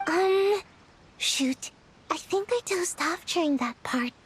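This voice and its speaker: A woman voicing a chatty, excitable childlike companion in a video game, with a perky, casual delivery. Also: extremely high pitch, measured pace, noisy background. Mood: excited, urging. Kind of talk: cartoonish video game voice acting. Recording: music playing behind the voice.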